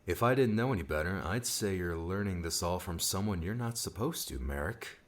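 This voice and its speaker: A man's voice: deep voice, lively intonation, moderate pace. A man voicing a dry, needling young comrade in an audiobook, teasing and pointed in manner. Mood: suspicious.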